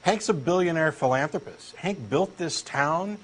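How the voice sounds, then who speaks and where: Eager, pushy man with lively pitch. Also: unhurried, slight background noise. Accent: Texan. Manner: eager, insistent true believer, earnest and pushy. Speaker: co-host doing a comic dramatic reading. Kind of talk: comic scripted dialogue reading.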